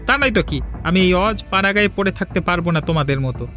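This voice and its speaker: An arrogant grown-up son from a cartoon, played by a man, with a high voice. He is greedy, impatient and scornful, pushing his words over background music. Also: expressive, racing, sharp tone. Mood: demanding, scornful. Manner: pushy, scornful. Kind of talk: Bengali cartoon dialogue. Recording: background music under dialogue.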